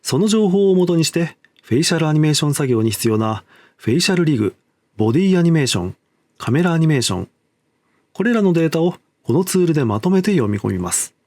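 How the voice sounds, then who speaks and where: Lively, higher-voiced man explaining, quick pace. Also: no echo. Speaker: game developer presenting. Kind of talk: scripted technical presentation.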